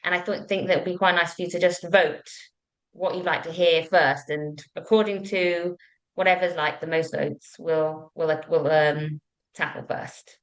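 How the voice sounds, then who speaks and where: A woman's voice: fairly low pitch, fairly even intonation, a little slow, low-quality recording. A woman hosting an online panel in an informal, conversational way. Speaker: webinar host.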